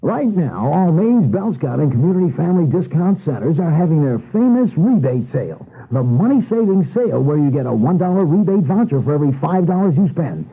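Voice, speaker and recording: Male American pitchman, high animated husky voice, hard-sell retail read, thin radio sound. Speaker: commercial announcer. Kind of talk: 1980s radio retail advertisement. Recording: thin, muffled radio broadcast tape.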